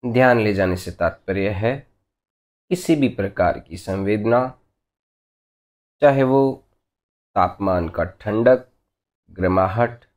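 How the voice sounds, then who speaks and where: A man leading a guided meditation as a teacher, giving gentle, unhurried instructions in short phrases with long pauses between them. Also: on the low side.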